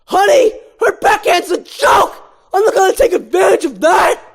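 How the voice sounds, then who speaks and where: A man voicing an irate, exasperated cartoon boyfriend, shouting in an indignant whine. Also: extremely high pitch, lively intonation, normal speed, voice pushed up. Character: irate, exasperated human boyfriend in animated comedy.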